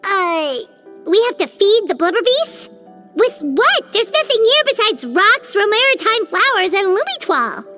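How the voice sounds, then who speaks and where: A woman voicing a tiny, chatty, excitable female companion creature in a video game, talking about herself by name. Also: measured pace, exaggerated voice. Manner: squeaky, exclamatory, talks about herself by name. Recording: game audio with faint music underneath.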